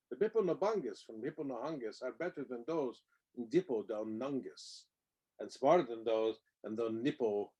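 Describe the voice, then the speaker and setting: A man's voice: medium pitch, warm tone, breathy edge, rough recording. A man reads a rhyming children's picture book aloud with playful, theatrical emphasis on the nonsense words. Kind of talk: expressive read-aloud of rhyming storybook verse.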